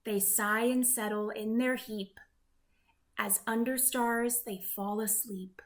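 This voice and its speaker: Slow, high-voiced woman reading stories. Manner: measured, expressive storytelling. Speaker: picture-book narrator. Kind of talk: scripted rhyming story read-aloud for children.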